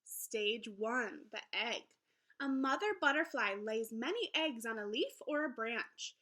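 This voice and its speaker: A woman teacher reading aloud to young children in an encouraging, expressive storybook manner. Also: on the high side, measured pace. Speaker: elementary school teacher. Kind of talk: children's read-aloud lesson.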